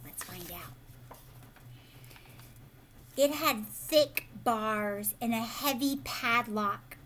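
Higher-pitched woman reading to children. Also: extremely slow, a little hiss or hum. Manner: expressive, explanatory, questioning. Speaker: teacher reading aloud to children. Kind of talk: picture-book read-aloud with questions to young listeners. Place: living room at home, indoors.

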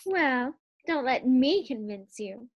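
A woman's voice: high voice, animated, slow pace, full character voice. A woman playing a teasing scatterbrained young wife. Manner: teasing, coy. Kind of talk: 1940s radio acting.

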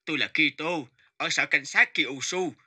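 An actor playing a calm police officer in an animated story, formal and matter-of-fact. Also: male voice, fairly high pitch, moderate pace, breathy edge, exaggerated voice. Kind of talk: animated moral story dubbing.

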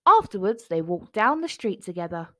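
Animated woman reading a picture book aloud. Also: medium pitch, normal speed, dry recording, studio quality. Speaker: children's book narrator.

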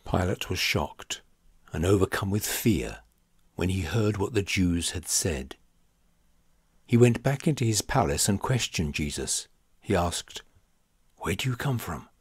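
Male audiobook narrator for scripture: low register, deliberate and dramatic delivery, dry close sound. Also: animated, slightly rough, no echo. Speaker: audiobook reader.

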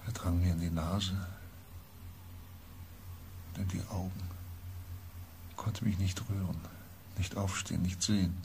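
Deep-voiced haunted man. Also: little pitch movement, measured pace, vocal fry, pauses often, darkish tone, slight background noise. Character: haunted male expedition member, gravely recalling dread. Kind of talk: science fiction radio drama acting.

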